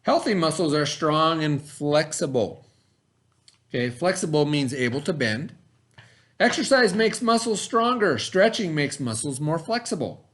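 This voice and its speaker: Animated middle-aged man reading a lesson aloud. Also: a little high. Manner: instructional, pauses to define and repeat terms. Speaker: teacher reading aloud to children. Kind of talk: read-aloud lesson with explanatory asides.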